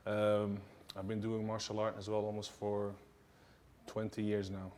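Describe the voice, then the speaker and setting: A low-pitched man answers questions casually. He speaks in a relaxed way, full of fillers and quick repeated yeahs. He sounds like a martial arts instructor chatting about his life. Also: a little hiss or hum. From Sweden.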